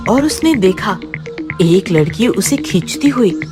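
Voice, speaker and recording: Woman, dramatic horror storytelling, scripted, slow delivery, music underneath. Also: mid-range voice, sing-song. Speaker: storyteller, narrator. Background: background music.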